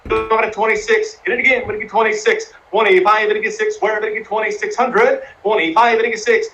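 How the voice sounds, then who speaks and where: A man running a charity auction, rattling off bids in a rolling chant and urging bidders to click once more. His voice sits high and rings bright and tense. He keeps the numbers coming without a break. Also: level tone, lo-fi sound, soft background music. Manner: fast rhythmic number calling, coaxing bidders. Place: live streamed fundraising event.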